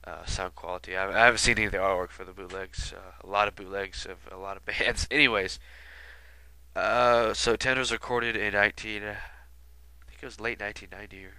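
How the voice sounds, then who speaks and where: A man's voice: fairly low pitch, steady tone, a little fast, sharp tone, smooth, no room sound. Stuffy-nosed man rambling casually. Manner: rambling, many fillers and asides. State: sick with a stuffy nose. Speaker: video commentator. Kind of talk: casual album retrospective commentary.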